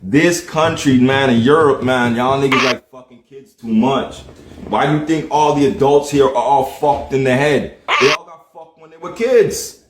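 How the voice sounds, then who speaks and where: A man's voice: mid-range voice, moderate pace. A man from Jamaica, delivering a heated, profane monologue on a livestream and firing off rhetorical questions. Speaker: livestream host. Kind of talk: ranting monologue. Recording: roomy echo.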